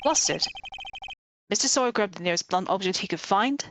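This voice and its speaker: A woman's voice: mid-range voice, animated, fairly quick, background noise. A woman hosting a let's play, reading scripted game narration aloud. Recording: music behind the voice. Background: game music.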